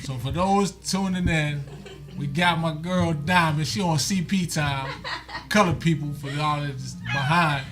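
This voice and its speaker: A man hosts a loose radio chat, teasing his guests and laughing often. His voice sits a little high for a man. He talks in relaxed slang. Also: measured pace. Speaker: radio show host. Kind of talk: casual interview chat.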